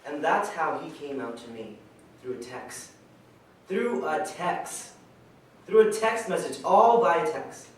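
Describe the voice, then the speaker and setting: A man's voice: on the high side, animated, slow pace. An actor playing an easygoing man nearing thirty, telling a personal story conversationally in a staged reading. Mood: wry, amused. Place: stage in a reverberant room, recorded from afar. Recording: echoey hall, far mic, faint noise.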